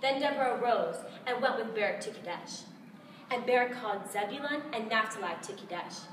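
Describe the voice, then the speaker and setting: A woman's voice: a little high, expressive, a little fast. A woman with an American accent giving a dramatized, emphatic recitation of scripture in a storytelling style. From United States. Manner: theatrical, emphatic, storytelling delivery. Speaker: competition contestant reciting scripture. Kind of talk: dramatized recitation of Bible narrative. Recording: roomy, distant mic, faint noise.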